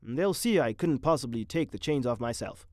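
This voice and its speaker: A man voicing a meek, middle-aged daydreaming husband, hesitant in life and crisp in his fantasies. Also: mid-range voice, lively intonation, normal speed, darkish tone, dry recording, clean studio sound, lightly acted. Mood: fretful.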